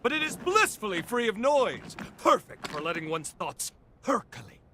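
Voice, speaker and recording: A man's voice: sits high, very animated, moderate pace, bright, forceful tone, slight background noise, exaggerated voice. An actor voicing a grandiose, flamboyant male scientist in a video game, with a theatrical, pompous and verbose delivery. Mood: smug, pleased.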